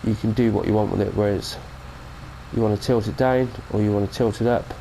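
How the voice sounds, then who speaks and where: A man's voice: on the low side, fairly even intonation, brisk, vocal fry, warm tone, slight background noise, poor audio. A British man with a cold, giving a chatty, rambling mod review of a farming game and correcting himself as he goes. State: has a cold. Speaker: gaming channel host. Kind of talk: casual mod review commentary.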